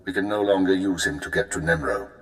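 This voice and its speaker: Give me a controlled, measured spy handler in a game, voice a bit low, in an echoey space. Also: male voice, a bit bright, slight background noise. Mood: briefing. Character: calm male spymaster handler giving orders. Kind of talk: video game cut-scene acting. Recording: roomy echo.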